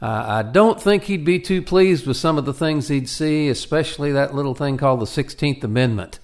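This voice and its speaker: A man's voice: on the high side, moderate pace, a little mellow. A man hosting a radio program, moving between dramatic storytelling and talk. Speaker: radio show host and narrator. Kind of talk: dramatic narration and radio chat. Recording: roomy echo, music bed.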